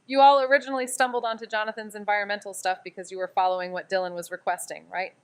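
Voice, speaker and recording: An actress playing Amy, a curious, excitable young woman investigator who rambles and exclaims. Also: mid-range voice, racing, clean tone, no room sound, close to an everyday voice. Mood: rambling. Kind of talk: audio drama acting.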